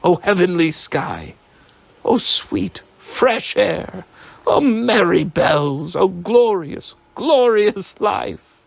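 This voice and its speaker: A man voicing an overjoyed elderly miser who exclaims, laughing and crying at once, in a gravelly voice. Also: medium pitch, animated, slow, fairly bright tone, no room sound, full character voice. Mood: giddy joyful.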